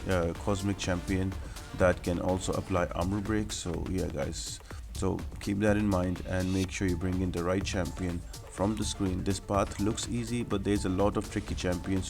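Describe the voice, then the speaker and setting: A man giving instructional, explanatory commentary as the host of a video game guide. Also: low-pitched.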